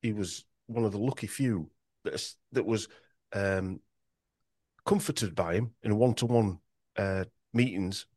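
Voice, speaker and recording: Yorkshire male guest, low register, rambling podcast talk, dry booth sound. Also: measured pace, mellow, slightly rough, dry recording. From Yorkshire, England. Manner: rambling with frequent restarts and stumbles. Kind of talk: podcast conversation.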